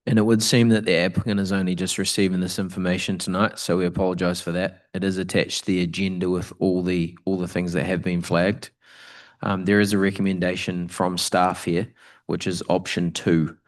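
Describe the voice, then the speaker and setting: A man's voice: low-pitched, level tone, a little fast. A man, chairing a council meeting in a procedural, matter-of-fact way.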